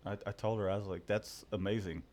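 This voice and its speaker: A man's voice: fairly low pitch, fairly even intonation, fast talker, darkish tone, slight background noise. A man hosting a casual live stream about his print shop, rambling good-humoredly with many restarts. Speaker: host and screen printer.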